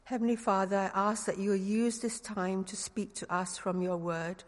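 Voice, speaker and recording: A middle-aged woman from Singapore with a Singaporean English accent and a touch of London slang, giving an earnest sermon with gentle humor and leading prayer. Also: mid-range voice, fairly even intonation, moderate pace, big-room sound. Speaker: preacher.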